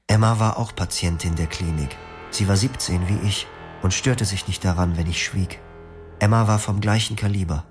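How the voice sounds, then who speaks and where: An actor voices a young former psychiatric patient who narrates a radio drama in the first person. The voice is low and the tone is quiet and melancholic. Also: male voice, moderate pace, over music, lightly acted. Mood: reflective. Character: young male patient recalling his clinic past.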